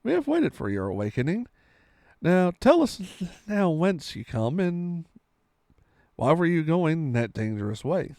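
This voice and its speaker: A man's voice: a little high, big pitch swings, unhurried, a little mellow, no room sound, studio quality. A man voicing a friendly, curious native rescuer in a storybook, speaking formally and courteously. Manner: formal, archaic storybook speech.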